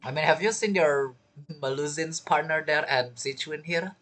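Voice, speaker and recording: A woman with a husky voice giving casual, reactive, questioning commentary as she plays a game. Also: deep voice, normal speed, a bit bright. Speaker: game streamer.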